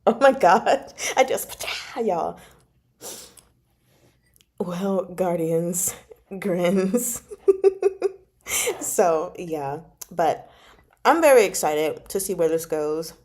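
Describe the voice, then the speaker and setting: A woman chatting casually and informally to her livestream viewers, giggly and animated as she talks. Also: on the low side, drawn-out words, long pauses. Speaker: host of a book club channel and amateur audiobook narrator. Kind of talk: casual livestream chat, reading out and answering comments.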